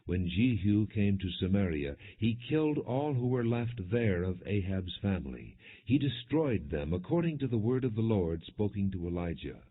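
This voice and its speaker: Muffled, deep-voiced male scripture narrator. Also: big pitch swings, moderate pace, hardly any pauses, husky. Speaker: audiobook narrator. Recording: dull, muffled phone-like audio.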